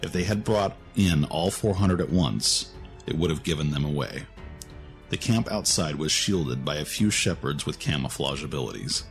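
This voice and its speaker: A man reading a scripted story as its narrator. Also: low voice.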